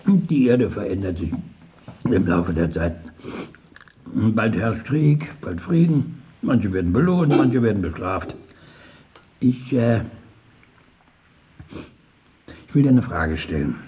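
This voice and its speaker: Slow, probing skeptic. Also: male voice, lowish voice, sing-song, dull audio. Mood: pondering. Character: probing, skeptical male questioner testing a sufferer's faith. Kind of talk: radio drama acting.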